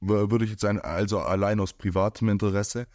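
A man's voice: lowish voice, fairly even intonation, a little fast, darkish tone, dry recording, clean studio sound. Man from near Stuttgart hosting, rambling. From near Stuttgart, Germany. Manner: rambling questions, restarts. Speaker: podcast host. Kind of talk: casual interview chat.

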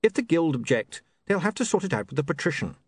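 A man's voice: on the high side, big pitch swings, quick pace, breathy edge, dry recording, voice pushed up. A man voicing a flustered, guilty young newspaper man with a hesitant, apologetic manner in an audiobook. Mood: awkward, reassuring.

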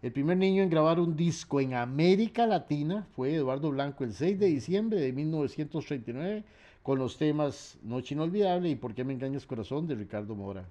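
Mellow-voiced man hosting a music show. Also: on the high side, animated, fairly quick. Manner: reads facts in long runs, trails off with fillers. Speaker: radio host and researcher. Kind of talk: relaxed radio talk about music history.

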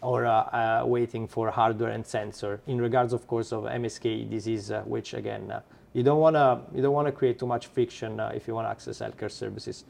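Italian guy, gravelly voice, lots of uhs and restarts, founder on a podcast. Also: mid-range voice, flat delivery, a little mellow. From Italy. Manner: halting, many filler sounds and restarts. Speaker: startup founder guest. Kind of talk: podcast interview.

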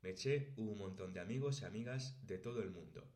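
Podcast host: male, late twenties, Castilian-Spain accent, lower register, scripted narration, recorded in a reverberant room. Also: a little fast, a little mellow. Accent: Spanish from Spain. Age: late 20s. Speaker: podcast host and language teacher. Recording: roomy, echoey sound.

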